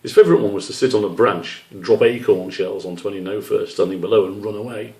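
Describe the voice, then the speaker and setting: Low gravelly male storyteller in an echoey room. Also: fast talker, darkish tone. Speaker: author reading his children's book. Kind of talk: storybook narration. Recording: roomy echo, distant microphone.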